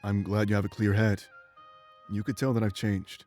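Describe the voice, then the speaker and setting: Fantasy visual novel role for a male actor: former inquisitor, lowish voice, calm, contrite, measured. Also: soft background music, natural voice. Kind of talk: visual novel character voice acting.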